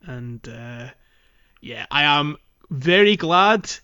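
A man's voice: a little high, animated, takes it slowly, sharp tone, smooth, muffled recording. A man hosting a casual gaming podcast, talking in an enthusiastic, geeky way. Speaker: podcast host. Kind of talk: casual game discussion podcast.

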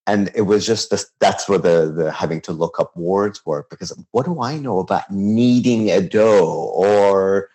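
Low-voiced guy in his 50s from iran, chatting thoughtfully, stops and restarts a lot. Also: mellow. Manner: reflective, halting, with frequent restarts and light laughs. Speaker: chef, interview guest. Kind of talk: casual conversational interview.

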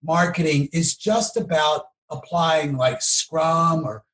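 A man explaining things conversationally as an interview guest, emphatic and often checking in with a quick "okay?" or "right?". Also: on the high side, fairly bright tone, low-quality recording. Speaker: podcast guest, marketing professional.

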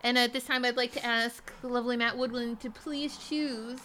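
A woman's voice: fairly high pitch, fairly even intonation, moderate pace, over a noisy crowd. A woman hosting a podcast, chatting casually and comedically as she steers the segments. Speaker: podcast host.

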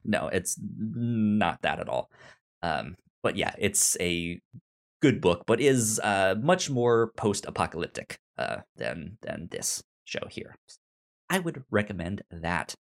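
Man, lowish voice, marked stutter with repeated syllables, casual podcast co-host, conversational recommendations. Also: big pitch swings, slow pace, gravelly. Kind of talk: casual discussion and recommendations of books and shows.

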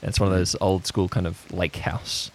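A man acting as game master, improvising descriptive scenes in a halting way, addressed to the players. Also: low voice, level tone, gravelly, slight background noise.

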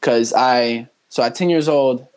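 This is a young man in his twenties, raised in Honduras, who talks as a guest on a podcast. He speaks in a loose, earnest way and keeps restarting his sentences with "um" and "like". His voice sits at an everyday middle pitch for a man. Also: measured pace. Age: 20s. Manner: earnest, relaxed, many fillers and restarts. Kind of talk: casual conversational interview.

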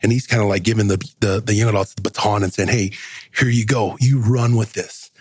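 A man's voice: on the low side, fairly even intonation, a little fast, breathy edge, dry recording, studio quality. A middle-aged man serving as a church stake counselor, talking in a rambling way with many restarts. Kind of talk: casual discussion.

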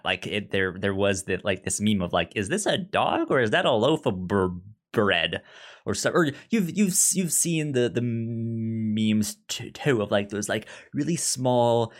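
A man co-hosting a podcast, discussing a film casually in a halting way, often stammering and repeating words. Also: on the low side. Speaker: podcast co-host.

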